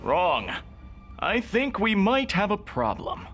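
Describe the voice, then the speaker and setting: A man's voice: high-pitched, huge pitch swings, takes it slowly, a bit bright, faint music behind, exaggerated voice. A man playing a stern, brooding superhero detective in a video game, speaking tersely with grim authority. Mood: grave. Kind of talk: animated video game cutscene acting.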